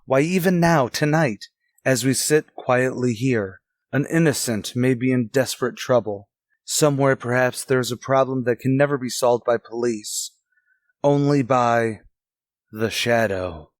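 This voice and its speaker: An actor playing a suave, resolute gentleman hero in a radio drama, with a genteel, earnest, theatrical way of talking. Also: mid-range voice, unhurried, dry recording, close to an everyday voice. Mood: earnest, intense. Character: suave, resolute gentleman secretly a crime fighter. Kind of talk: old-time radio drama acting.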